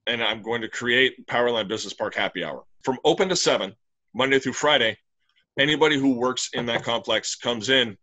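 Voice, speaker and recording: A man's voice: medium pitch, brisk, sharp tone, low-quality recording. A middle-aged man, a brewery owner, talking casually and in plain detail about his plans over a video call from a small room. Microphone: webcam on a video call.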